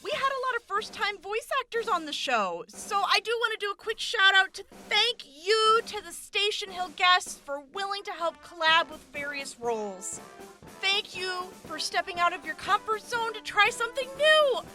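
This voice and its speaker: Grateful high-voiced woman voice actor. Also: very animated, over music. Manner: grateful, heartfelt, halting with restarts. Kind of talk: casual thank-you message.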